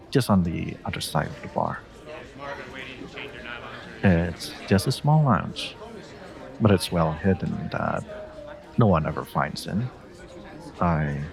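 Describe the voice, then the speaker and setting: A man playing a suave, teasing stranger who flirts in an intimate audio roleplay, pausing and hesitating playfully as he talks. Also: deep voice, gravelly, exaggerated voice. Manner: teasing, hesitant pauses, frequent soft chuckles.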